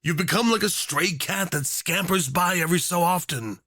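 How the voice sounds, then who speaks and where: A man's voice: sits high, moderate pace, pushed and bright, no echo, studio quality, voice pushed up. A man playing a doting, unhinged rich tyrant in an obsessive romance roleplay, theatrical and saccharine, teasing with pet names. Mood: pleading, smitten. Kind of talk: yandere ASMR roleplay acting.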